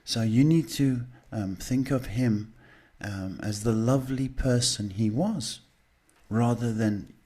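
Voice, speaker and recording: A man hosting live psychic readings, talking casually and haltingly as he advises people, often checking in with a short questioning word. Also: mid-range voice, warm tone. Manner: halting, repeats "okay" as a check. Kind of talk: live advice to callers, casual spiritual talk.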